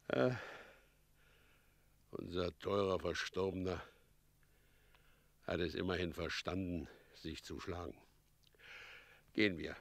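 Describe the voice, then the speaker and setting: A man's voice: mid-range voice, sharp tone, no room sound, heavily acted. An actor playing a scheming, smug middle-aged mayor and father in a radio play, speaking in a sly, theatrical way. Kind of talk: German radio play acting.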